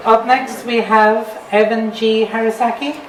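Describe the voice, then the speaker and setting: A woman's voice: a little high, fairly even intonation, a little slow, slight background noise. A woman hosting a live open-mic event in an informal, encouraging, chatty way. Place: indoor bar venue at a live open-mic night. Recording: echoey room, distant mic, loud crowd.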